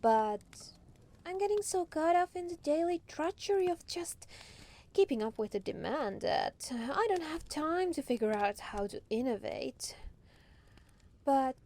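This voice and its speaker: A woman's voice: sits high, animated, measured pace, a little mellow. A woman playing a proud, teasing demon lord in a roleplay ASMR monologue, casually regal and playfully menacing. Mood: frank, pleased.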